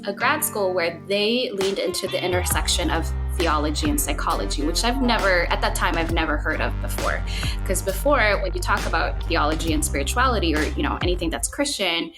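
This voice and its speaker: A woman from the Philippines with a fairly low voice speaks as a podcast guest, a psychotherapist reflecting aloud. She pauses often with fillers and restarts her sentences. Music plays clearly beneath her speech. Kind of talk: casual podcast conversation.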